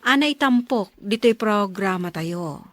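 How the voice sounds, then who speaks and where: A woman hosting a radio drama, narrating a script in a measured, declamatory way. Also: mid-range voice, animated, dry recording. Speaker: radio drama host and announcer. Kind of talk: scripted solemn programme narration.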